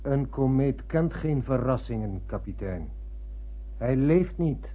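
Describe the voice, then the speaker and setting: An actor playing a sober, dutiful ship's officer in a radio play, formal and earnest, with a husky voice. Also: male voice, mid-range voice, expressive, slow. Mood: earnest, pleading. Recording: thin muffled phone-like radio play recording.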